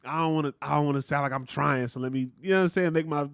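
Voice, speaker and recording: A man with a deep voice chatting casually as a podcast co-host, animated and slangy, often laughing. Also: rapid-fire, gravelly, clean tone, dry recording, studio quality. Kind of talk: casual chat.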